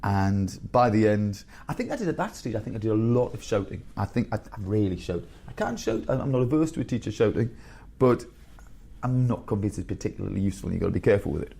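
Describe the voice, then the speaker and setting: A man around fifty, a headmaster telling blunt, animated stories in a candid interview. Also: lowish voice, fast, vocal fry, husky. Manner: blunt, animated storyteller with bursts of laughter.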